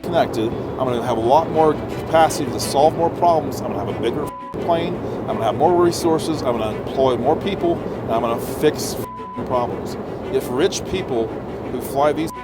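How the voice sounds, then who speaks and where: A man's voice: medium pitch, lively intonation, fairly quick, low-quality recording. A man of fifty being interviewed, talking motivationally in an emphatic, self-assured way with repeated phrasing. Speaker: wealthy jet owner being interviewed. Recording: loud music over vehicle cabin sound.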